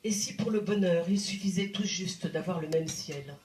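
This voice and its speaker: A woman reciting poetry in a deliberate, dramatic manner with expressive phrasing and pauses. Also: fairly low pitch, slight background noise. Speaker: poet reciting. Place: indoor live poetry evening venue. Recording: roomy live recital sound. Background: plucked guitar music.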